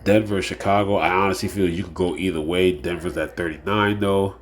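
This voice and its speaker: A man's voice: low voice, fairly even intonation, brisk, slight background noise. A man hosting a casual solo fantasy sports preview. He sounds nasal and a bit under the weather, and he rambles with lots of fillers and repeated phrases. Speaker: fantasy sports show host.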